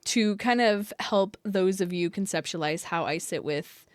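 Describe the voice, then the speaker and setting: A young woman with a stuffy, nasal voice from a cold chats on, rambling a little and breaking into small laughs. Her pitch sits mid-range, and the recording is close and dry with no room sound. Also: a little slow, no room sound. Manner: chatty, rambling, laughs often. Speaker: astrologer and channel host. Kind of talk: casual talk to camera.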